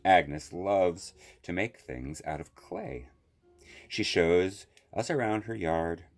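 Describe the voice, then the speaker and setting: A man reading a children's picture book aloud as a library storyteller, with deliberate phrasing and long pauses. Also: sits low, soft background music.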